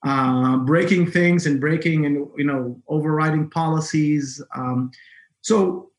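A middle-aged man with an Israeli accent, talking conversationally as an interview guest. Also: a little high. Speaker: guest, cybersecurity entrepreneur. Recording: roomy, echoing sound.

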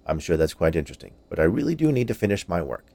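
A fussy little male health inspector from an audiobook, acted out by a man. He speaks in a lowish voice, recorded close and dry. He is stern, officious and easily annoyed, giving curt answers. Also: sing-song, fast, creaky, warm tone, no echo, heavily acted. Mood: curt, irritated. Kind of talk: audiobook character voice.

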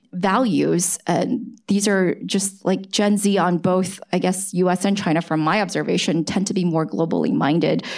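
A woman who grew up in the United States, co-hosting a podcast and chatting animatedly as interviewer on a live stage. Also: fairly low pitch. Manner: chatty, animated, with fillers like "like" and "right?". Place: event hall with live audience.

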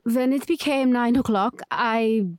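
An actress in a 1940s radio drama playing a meek wife on the witness stand who halts and protests. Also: female voice, fairly high pitch, clear, resonant tone, no room sound, natural voice. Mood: pleading. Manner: halting, protesting denials.

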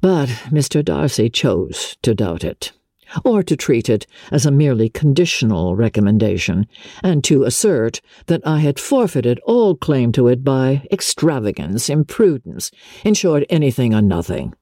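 Classic storybook gentleman officer performed by a woman: genteel, aggrieved, confiding, soft-edged husky delivery with expressive swings. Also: rumbling low, dry recording, clean studio sound, full character voice. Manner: measured, plaintive, confiding. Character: smooth-talking, wronged young gentleman officer, wounded and genteel.

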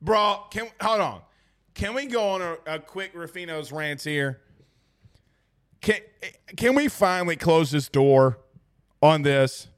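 A man hosts a sports talk show, his voice hoarse and pitched a little high. He talks in excitable bursts and hammers his points home by saying them twice. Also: takes it slowly, pauses often. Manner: excitable, emphatic, repeats lines for effect. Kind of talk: casual sports talk show, reading viewer comments.

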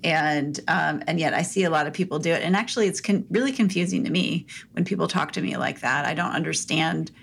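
A woman co-hosting a podcast, speaking in a soft voice in a direct, upbeat way with a few fillers. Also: low-pitched, a little fast, creaky, few pauses, slightly rough. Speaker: podcast co-host. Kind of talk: casual podcast chat giving advice.

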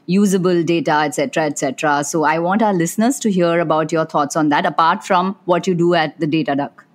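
A woman's voice: low voice, fairly quick. A woman hosting a podcast interview, asking questions and responding with encouraging short acknowledgements. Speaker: podcast host. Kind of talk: interview host.

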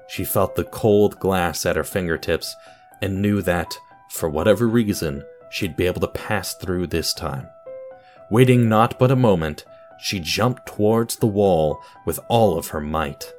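Low-voiced man narrating over music. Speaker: host and narrator of an audio drama podcast. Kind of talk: scripted narration and podcast announcements.

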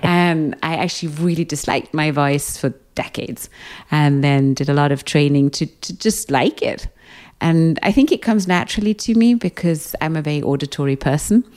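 Calm low-voiced British woman. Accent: British English. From Britain. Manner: calm, soothing, measured. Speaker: hypnotherapist guest. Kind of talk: interview conversation.

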